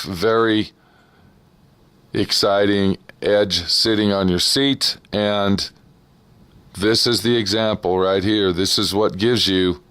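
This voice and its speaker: A man with a low voice gives a casual host's introduction. He takes his time, very slowly, and repeats words to stress them. The sound is dry and close. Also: a bit bright, smooth, no room sound. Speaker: host introducing old radio episodes.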